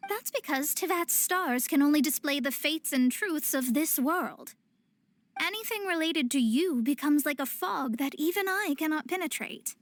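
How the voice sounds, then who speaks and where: A woman's voice: high voice, lively intonation, normal speed, no room sound. An actress playing a proud young female astrologist in a video game, speaking in lofty, formal, theatrical phrases. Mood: smug, lecturing. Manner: formal, archaic, lofty diction. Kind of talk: anime-style video game voice acting.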